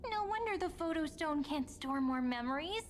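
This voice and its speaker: A woman voicing a young, plucky, curious game heroine who muses aloud in an expressive manner. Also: high voice, normal speed, slight background noise. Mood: playful, puzzled. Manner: expressive, musing aloud to herself.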